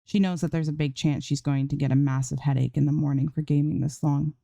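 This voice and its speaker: A woman's voice: low-pitched, fairly even intonation, quick pace, creaky, dark tone, no echo. A woman reading a story aloud as an amateur narrator, in scripted prose. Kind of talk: scripted fan fiction narration.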